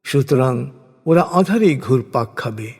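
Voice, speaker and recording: A man reads translated scripture and commentary as a solemn, scripted narration, in measured, deliberate phrases with pauses. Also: medium pitch, animated, a little mellow, slightly rough, faint music behind. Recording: long hall-like reverb on voice.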